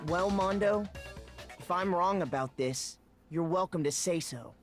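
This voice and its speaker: An earnest teenage schoolboy acted by a man in a visual novel game dub, his voice high for a male. He reasons step by step and presses his accusation in a measured way. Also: faint music behind. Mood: calm, resolute. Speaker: voice actor in a fan dub. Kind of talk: fan-dubbed visual novel dialogue.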